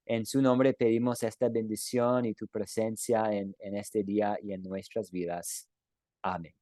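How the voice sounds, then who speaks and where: A man teaching an online Bible class who closes with a prayer, speaking gently, with many fillers and restarts. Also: on the low side, flat delivery, a little slow, no echo, clean studio sound. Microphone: video call.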